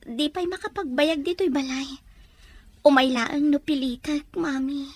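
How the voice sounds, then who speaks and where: A woman's voice: high voice, fairly even intonation, measured pace, no echo. A woman playing a lovelorn, clingy young student with a Filipino accent in an audio drama. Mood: wistful. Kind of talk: Ilocano audio drama acting.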